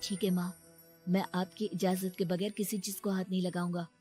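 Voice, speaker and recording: A woman voicing a meek, loving seven-year-old orphan boy who speaks earnestly and pleadingly in an animated moral story. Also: lowish voice, normal speed, faint music behind, lightly acted.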